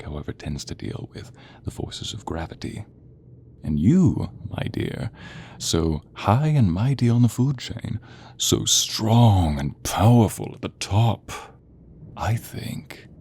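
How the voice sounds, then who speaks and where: A man voicing a sadistic, theatrical sorcerer villain in an audio roleplay, silky and menacing, drawing out words and slipping into breathy whispers. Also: sits low, a little mellow, a little hiss or hum, exaggerated voice. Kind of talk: audio roleplay acting addressed to the listener.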